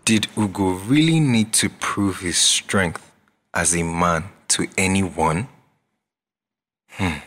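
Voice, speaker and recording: A man's voice: low-pitched, slow, long pauses, fairly bright tone. A man delivering scripted, dramatic narration as the narrator of a radio drama.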